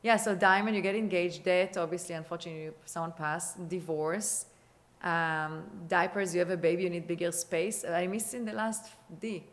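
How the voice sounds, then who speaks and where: A woman with an Israeli accent talks real estate in a relaxed podcast conversation. She is chatty and quick, often restarting her sentences and leaning on fillers. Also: fairly low pitch. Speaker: real estate agent guest.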